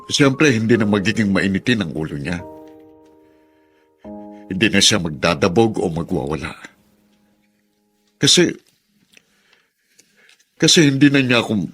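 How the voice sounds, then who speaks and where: A man playing a middle-aged ex-convict father in a Filipino radio drama, halting, emotional and self-sacrificing as he pleads. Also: lowish voice, fairly bright tone, slightly rough, heavily acted.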